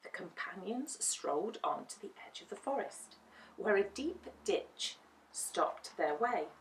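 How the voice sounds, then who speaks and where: A woman reading a children's storybook aloud as an expressive storyteller. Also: medium pitch, unhurried, a little hiss or hum. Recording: roomy, distant microphone.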